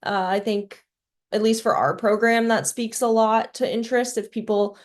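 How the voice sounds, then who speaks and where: A woman's voice: on the high side, fairly even intonation, normal speed. Conversational woman from a residency program. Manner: conversational, hedging. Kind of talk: webinar panel advice. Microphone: video call.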